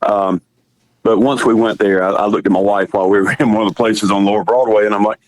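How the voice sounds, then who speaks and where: A raspy-voiced man with a Southern country accent, a musician calling in and telling his story in a relaxed, chatty way. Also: lowish voice, fast talker, dry recording, rough recording. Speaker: musician guest on the phone.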